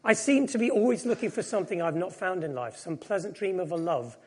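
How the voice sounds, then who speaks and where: A middle-aged man speaks from the pulpit in a reflective, self-deprecating way, sharing his own story. His voice sits high and carries a husky edge. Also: animated, a little fast, a little mellow. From Japan. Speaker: preacher. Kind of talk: personal sermon.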